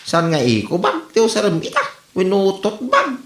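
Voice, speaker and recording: Actor playing an inquisitive spouse, Ilocano accent, gravelly fry, extremely animated pitch, comedy drama, echoey room. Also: moderate pace. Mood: curious. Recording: echoey, music underneath.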